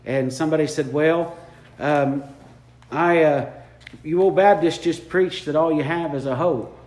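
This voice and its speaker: A man's voice: on the high side, measured pace, a little mellow. A man preaching a sermon in a church, fervent and repeating his lines for emphasis. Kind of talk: impromptu sermon. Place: church sanctuary. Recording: echoey church room.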